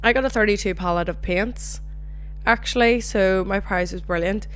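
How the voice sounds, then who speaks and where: A young Northern Irish woman hosting her own podcast, chatting casually and telling animated stories. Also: mid-range voice, normal speed, slight background noise. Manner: chatty, animated storytelling with swearing. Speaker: podcast host. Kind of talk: casual solo chat.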